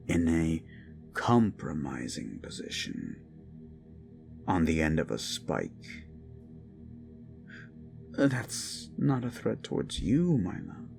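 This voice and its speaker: Deep, slow, paranoid yandere prince, soft menace and whispers; gravelly, animated delivery. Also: male voice, full character voice. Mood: menacing. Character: unhinged possessive young prince, paranoid. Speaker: voice actor. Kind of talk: audio roleplay drama. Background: faint dark music.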